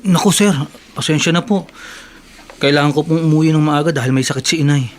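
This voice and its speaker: Actor as resentful Filipino office worker, higher-pitched. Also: male voice, fast talker, a little hiss or hum, natural voice. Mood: falsely apologetic. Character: resentful, defiant male office worker, slyly self-serving. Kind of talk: Tagalog radio drama acting.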